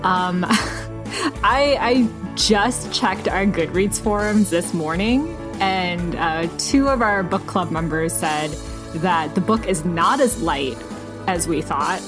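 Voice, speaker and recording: A woman co-hosting a podcast in a casual book chat, informal and quick to giggle. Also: on the low side, over music. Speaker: podcast co-host.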